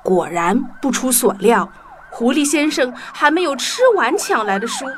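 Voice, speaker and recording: A woman narrating a story for children in an expressive, animated storyteller's style. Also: sits high, moderate pace. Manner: expressive, addresses young listeners. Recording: music bed behind the voice.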